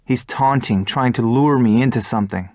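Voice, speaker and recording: A man's voice: mid-range voice, moderate pace, darkish tone, dry recording, studio quality, close to an everyday voice. Earnest young fighter pilot, played by a man. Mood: brooding, resolute. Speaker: fighter pilot protagonist and narrator. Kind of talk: video game cutscene narration and dialogue. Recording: music behind the voice.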